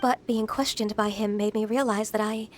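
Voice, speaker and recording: A woman playing a gentle, earnest young half-immortal in a video game, polite, measured and slightly hesitant. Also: medium pitch, steady tone, slight background noise, natural voice. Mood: downcast, hesitant. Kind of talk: anime-style video game acting.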